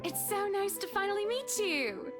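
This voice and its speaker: A gushing high-society lady in a visual novel. Her voice is high and sociable, with prominent music behind it. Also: animated, normal speed. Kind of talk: visual novel game voice acting.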